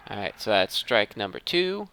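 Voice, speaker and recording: A man's voice: lowish voice, sing-song, moderate pace, pushed and bright, a little hiss or hum. A man giving casual gameplay commentary, thinking aloud and often interrupting himself mid-thought. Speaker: gaming video host.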